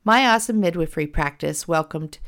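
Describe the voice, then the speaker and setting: A middle-aged woman hosts a casual podcast, talking in an animated, self-deprecating way. Her voice sits fairly low and sounds a bit hoarse from a cold. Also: fairly quick. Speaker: podcast host.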